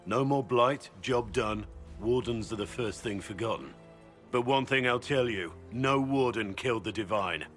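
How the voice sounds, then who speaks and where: An actor playing a gruff, seasoned warrior recruiter in a fantasy game, speaking in a blunt, earnest, plain-spoken way. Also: male voice, medium pitch, moderate pace, a bit bright, natural voice. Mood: startled, defensive. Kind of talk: dramatic fantasy game dialogue. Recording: background music under the voice.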